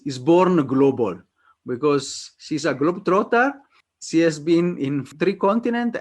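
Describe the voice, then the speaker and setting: Earnest, halting male interview host. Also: medium pitch. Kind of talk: interview hosting.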